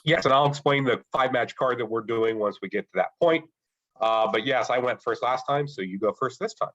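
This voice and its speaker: A man co-hosting a casual podcast who is getting over a cold and sounds stuffy. He talks things through thoughtfully at an ordinary pitch. The recording is slightly dull and lo-fi. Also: brisk, fairly bright tone. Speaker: podcast co-host.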